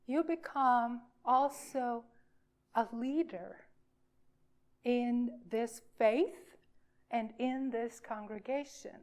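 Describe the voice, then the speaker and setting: A woman minister giving an informal sermon for all ages, speaking in a halting, emphatic way with deliberate pauses. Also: a little high, darkish tone.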